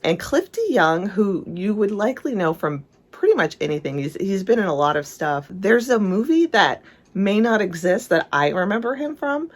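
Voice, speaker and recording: Woman, low voice, casual film commentary, enthusiastic, opinionated. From England. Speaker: video essayist.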